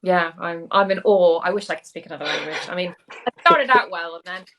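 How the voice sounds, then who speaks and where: A woman's voice: on the low side, expressive, brisk, fairly bright tone, low-quality recording. A woman hosting a casual video interview with an author, enthusiastic and effusive. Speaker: host.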